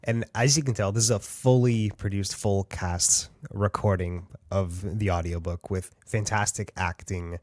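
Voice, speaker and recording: A man's voice: on the low side, fairly even intonation, unhurried, few pauses, darkish tone, no echo, clean studio sound. A man hosting an audiobook podcast, interviewing an author in an enthusiastic, chatty way. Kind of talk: casual interview.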